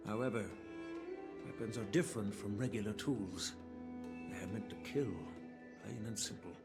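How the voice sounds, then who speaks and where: A man playing a grave, regretful old master swordsmith and grandfather in a game, speaking in a measured, formal way. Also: on the low side, natural voice. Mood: solemn. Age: older. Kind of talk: scripted game dialogue, solemn storytelling. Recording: background music under the voice.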